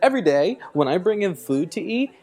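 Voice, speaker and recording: A woman's voice: lowish voice, big pitch swings, brisk, darkish tone. Woman acting easygoing gossipy guard, wry chatty audio play voice, swoops up and down a lot. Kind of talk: casual, modern-sounding audio drama acting.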